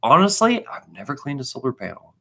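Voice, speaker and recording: A man in his thirties co-hosting a casual live question-and-answer chat, talking in an animated, emphatic, informal way. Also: medium pitch, a little fast. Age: 30s. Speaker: co-host of a trade channel.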